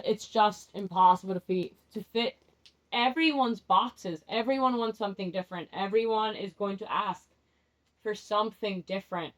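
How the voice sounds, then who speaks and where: A young woman whose first language is Spanish, doing a rambling, candid tarot reading, often restarting her sentences and laughing at herself. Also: mid-range voice. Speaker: tarot vlogger. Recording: dull lo-fi sound.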